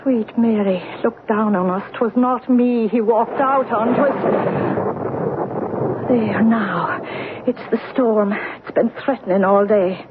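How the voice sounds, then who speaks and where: A woman's voice: fairly high pitch, slow. An actress playing a tiny, doleful old Irish cottage woman, mysterious and insistent, in a lilting archaic dialect for an old-time radio horror drama. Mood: doleful, grave. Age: older. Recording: tinny old radio broadcast, faint noise.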